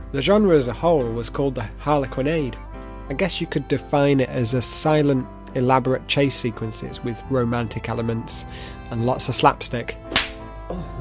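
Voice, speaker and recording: A man's voice: medium pitch, a little mellow. Playful man presenting over music. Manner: theatrical, playful. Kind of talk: video essay narration with playful asides.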